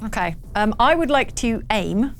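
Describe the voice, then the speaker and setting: A woman's voice: a little high, lively intonation, measured pace, slight background noise. A woman playing a tabletop roleplaying game, talking casually through her choices.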